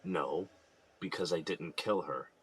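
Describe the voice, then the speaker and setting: A man's voice: low-pitched, slow, husky, exaggerated voice. An American man playing an earnest, troubled prisoner who insists on his innocence, speaking plainly in an audio drama. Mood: defensive, weary. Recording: noticeable background ambient noise.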